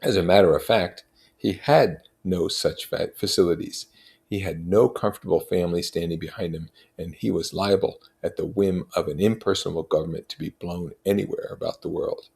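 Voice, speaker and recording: Male narrator, very low pitch, gravelly creak, measured literary read-aloud, expressive phrasing. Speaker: reader.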